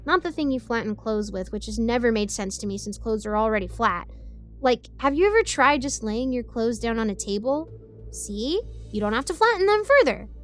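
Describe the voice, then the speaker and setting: Adult female as feisty young girl narrator, age ten: rambling, indignant, excitable, higher register, music bed, audio story. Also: natural voice. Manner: chatty, rambling, indignant asides. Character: excitable, defiant ten-year-old girl telling her story. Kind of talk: first-person scripted story narration.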